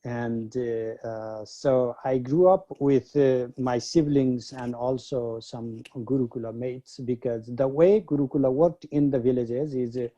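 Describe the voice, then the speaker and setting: Dark-voiced man from Nepal, unhurried. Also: mid-range voice, steady tone, dull audio. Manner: unhurried, many pauses and fillers. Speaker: guest scholar. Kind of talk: reflective interview talk.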